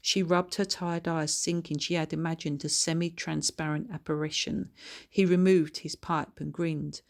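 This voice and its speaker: A woman's voice: deep voice, steady tone, moderate pace, vocal fry. A woman reading her own children's book aloud as scripted narration.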